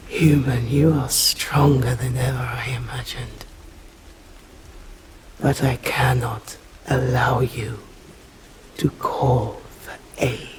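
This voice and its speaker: A woman with an English accent playing a stern, courteous female vampire in an ASMR roleplay, speaking formally and deliberately in a breathy voice that often drops to a whisper. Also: a bass voice, very noisy, heavily acted. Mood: quiet menace. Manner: slow, deliberate, formal, menacing.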